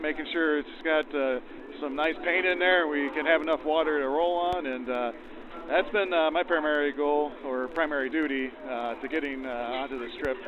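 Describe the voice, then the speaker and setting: A man giving casual, hesitant, folksy interview answers as a volunteer, filtered like a 1940s radio broadcast. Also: a little high. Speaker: railroad volunteer crew member. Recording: narrow phone-like vintage radio sound.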